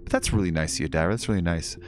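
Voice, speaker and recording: A young man giving relaxed, deliberately quiet commentary while streaming a game. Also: deep voice, a little hiss or hum. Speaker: gaming streamer. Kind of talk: casual let's-play commentary. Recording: game music in background.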